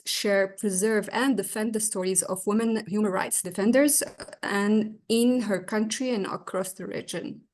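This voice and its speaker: A woman from Morocco moderates an online discussion in a formal, prepared manner. Her pitch sits in a middle range. She is speaking over a video call. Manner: formal, reads prepared introduction. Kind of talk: webinar moderation.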